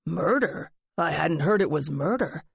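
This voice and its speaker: A woman narrator voicing a friendly bakery manager who talks briskly. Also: sits low, expressive, gravelly, breathy edge, no room sound, clean studio sound, pitched below the performer's own voice, exaggerated voice. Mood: concerned.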